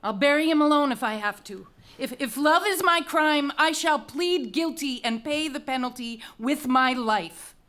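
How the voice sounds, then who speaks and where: An actress playing a fierce, resolute young princess in an intense dramatic reading of a Greek tragedy. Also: female voice, high-pitched, normal speed, few pauses, a bit bright. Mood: defiant, scornful. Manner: intense, declamatory.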